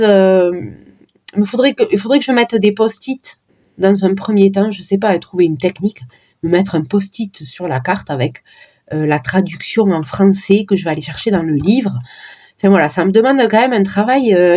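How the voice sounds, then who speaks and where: A woman's voice: mid-range voice, dark tone, dull audio, a little hiss or hum. Hesitant woman chatting about cards. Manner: hesitant, many fillers and restarts. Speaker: card reader vlogger. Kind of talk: casual chat presenting oracle decks.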